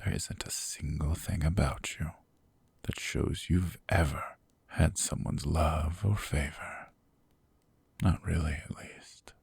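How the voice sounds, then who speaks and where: A man voicing a cold, sadistic, possessive demon king in an ASMR roleplay, talking slowly and mockingly in gravelly whispers close to the mic. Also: very deep voice, steady tone, darkish tone, dry recording, exaggerated voice. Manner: slow, mocking, condescending. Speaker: voice actor.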